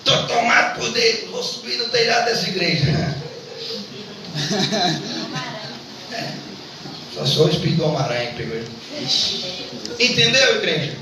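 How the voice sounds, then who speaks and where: A man's voice: high-pitched, very animated, moderate pace, pauses often. A Brazilian man preaching a fervent Pentecostal sermon, repeating phrases and exhorting the congregation with rhetorical questions. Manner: repetitive, exhorting, often addressing listeners with rhetorical questions. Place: church service indoors. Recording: very echoey church, distant mic, clear noise.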